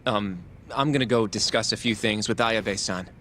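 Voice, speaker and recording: An actor playing an earnest, polite and somewhat awkward young colleague in an English-dubbed video game cutscene. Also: male voice, fairly low pitch, normal speed, pushed and bright, slight background noise. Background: background music.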